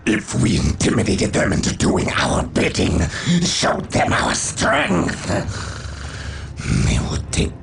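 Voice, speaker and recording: An actor playing a scornful, proud Chaos warrior in a video game cutscene, with a gravelly, menacing, disdainful delivery. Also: male voice, deep voice, huge pitch swings, noisy background, exaggerated voice. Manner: slow, theatrical, disdainful.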